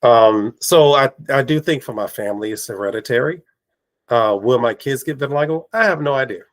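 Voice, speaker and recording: A man hosting a casual podcast chat, his voice raspy from allergies, telling lively stories and acting out quoted remarks. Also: mid-range voice, a little fast. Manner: animated storyteller, acts out quoted exchanges. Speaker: podcast host. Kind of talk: casual conversation.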